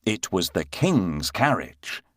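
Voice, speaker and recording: A man narrating a scripted children's fairytale as an expressive storyteller. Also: low-pitched, slow, no echo, studio quality.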